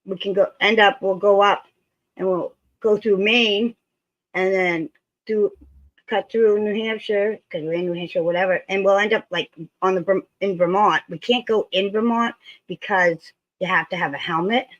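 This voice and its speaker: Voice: medium pitch, fairly even intonation, moderate pace, fairly bright tone. A co-host from Massachusetts chatting eagerly in a casual livestream. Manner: chatty, enthusiastic about the region. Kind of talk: casual chat. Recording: dull lo-fi sound.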